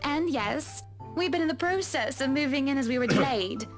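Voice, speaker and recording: A man doing a high-voiced gracious rich lady. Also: lively intonation, normal speed, faint music behind. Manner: gracious, theatrical hostess. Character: glamorous rich woman, mansion owner, gracious.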